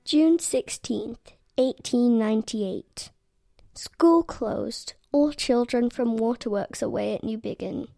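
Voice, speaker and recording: A woman reading terse school log entries, gravelly. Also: on the high side, slow, mellow, dry recording, studio quality, soft background music. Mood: matter-of-fact.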